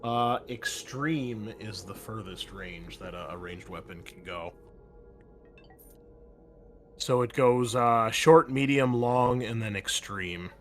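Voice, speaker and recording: A man's voice: fairly low pitch, slow pace, soft background music. A man running an online tabletop game as game master, rambling with many fillers and self-corrections.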